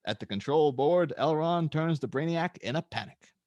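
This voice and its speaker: A man's voice: a little high, animated, moderate pace, a little mellow, no room sound, highs cut off. A man reading stage directions as the narrator of a dramatic superhero script table read.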